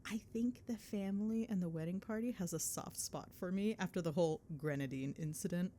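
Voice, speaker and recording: A woman playing an affectionate, teasing, excitable young girlfriend chatting on a call in an ASMR roleplay. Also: lowish voice, fairly quick, a little hiss or hum, lightly acted. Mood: excited. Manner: playful, chatty, expressive, mildly sweary.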